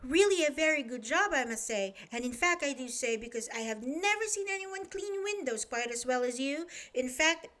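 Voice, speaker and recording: A woman reading a children's story, voicing a cheerful man who talks on and on in rapid, gushing chatter. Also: sits high, expressive, fairly bright tone, pitched above the performer's own voice. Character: endlessly talkative cheerful man.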